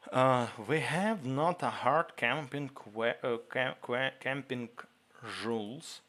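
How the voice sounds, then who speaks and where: A man with a Ukrainian accent, a native Ukrainian speaker, hosting a casual livestream Q&A, reading viewer questions aloud and answering in halting English. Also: medium pitch, fairly bright tone. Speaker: livestream host answering chat.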